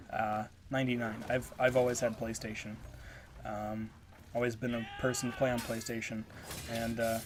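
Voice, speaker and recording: A stuffed-up man casually rambling through a voice-over game review, with many ums and restarts. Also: medium pitch. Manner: rambling, lots of fillers. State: stuffed up, congested. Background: game footage audio and music.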